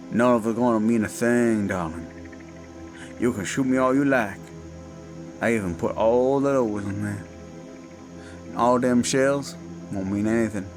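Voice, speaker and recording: A man voicing a menacing Cajun werewolf of the swamps, with a Cajun accent and a slow, taunting drawl. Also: medium pitch, lightly acted. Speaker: villain in audio drama. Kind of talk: horror audio roleplay. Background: faint eerie music and crickets.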